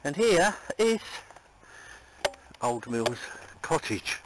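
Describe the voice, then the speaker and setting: A man around eighty walking outdoors and pointing out places from his childhood, in a halting, wandering way. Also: fairly high pitch, very animated. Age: about 80. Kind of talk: on-location reminiscing. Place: outdoors on a common. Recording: loud outdoor noise and passing traffic.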